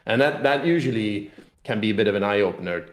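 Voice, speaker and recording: The recording is roomy and echoing, and the man's voice sits fairly low. He talks casually as a designer being interviewed, feeling his way through explanations. His phrases restart and repeat as he goes. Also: expressive, quick pace. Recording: roomy, echoing room sound.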